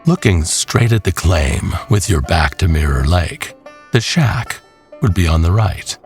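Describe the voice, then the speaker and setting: A man narrating a scripted horror western tale in a measured, deliberate way, with music behind him. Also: deep voice, lively intonation.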